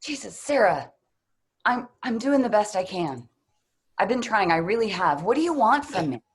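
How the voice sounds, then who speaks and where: A woman's voice: medium pitch, big pitch swings, normal speed, lightly acted. An actress in a play reading, playing a weary, fragile middle-aged mother who pleads. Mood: pleading, frustrated.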